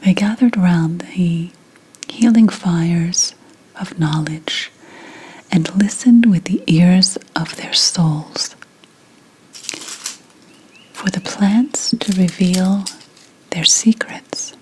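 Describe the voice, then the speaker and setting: A woman playing a mystical, brooding 17th century witch healer in a breathy, whispered ASMR roleplay, telling things solemnly with deliberate pauses. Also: fairly low pitch, a little hiss or hum, low-quality recording. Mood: reverent, storytelling.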